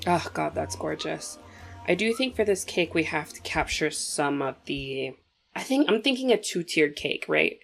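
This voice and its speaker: A woman's voice: deep voice, expressive, normal speed, gravelly, fairly bright tone, soft background music. A young woman hosting a casual movie commentary, chatty and opinionated, talking straight to viewers while sniffly from a cold.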